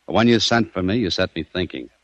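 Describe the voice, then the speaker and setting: A man's voice: deep voice, fast, a bit bright, no room sound. An actor playing a shrewd, cool-headed investigator in an old-time radio crime drama, commanding and sardonic as he needles his suspect. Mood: hard, triumphant. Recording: dull vintage radio recording.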